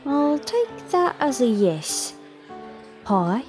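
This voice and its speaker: A weary barmaid with a working-class English accent. Her voice sits fairly high and she speaks slowly. She is wry, guarded and no-nonsense in this audio drama. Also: big pitch swings, darkish tone, faint music behind, exaggerated voice. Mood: dry, teasing. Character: weary, sharp-tongued young barmaid among criminals.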